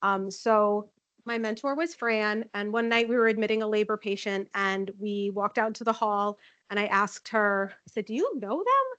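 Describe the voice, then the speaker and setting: A woman giving a chatty conference talk as a nursing informatics manager, with a loud voice and many "um" fillers. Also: medium pitch, animated, normal speed, dry recording, highs cut off. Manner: friendly, chatty, frequent "um" fillers. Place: conference room. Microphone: handheld or podium microphone.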